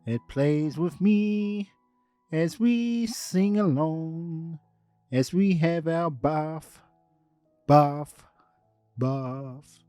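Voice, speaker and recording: A man's voice: on the high side, extremely slow, pauses often, dark tone, no echo, faint music behind. A man putting on a chirpy puppet boy. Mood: cheerful. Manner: chirpy, sing-song, soothing bedtime talk. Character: cheerful gentle puppet boy.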